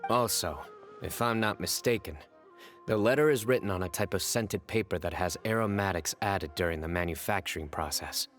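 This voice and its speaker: A stern male investigator from a video game, low and composed. He speaks with measured authority, with soft music behind him. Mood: authoritative.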